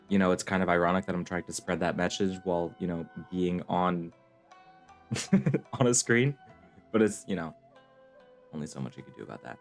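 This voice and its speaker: A man doing casual, rambling live-stream commentary on a video game, with jokey reactions. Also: low voice. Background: game music.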